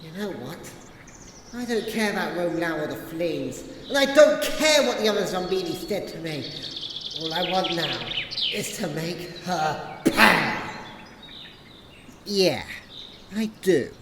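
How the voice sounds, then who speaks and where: A woman's voice: fairly low pitch, lively intonation, slow pace, long pauses. A woman voicing a young leader who has been cast out, bitter and wounded, her delivery swinging between anger and doubt. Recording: roomy echo with loud noise.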